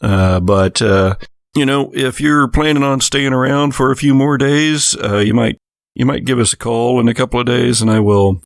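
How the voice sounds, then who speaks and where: A man's voice: medium pitch, normal speed, creaky, no room sound, studio quality, natural voice. A man playing a folksy, apologetic small-town deputy in a tabletop horror game, speaking in a hesitant, polite way. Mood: polite, guarded.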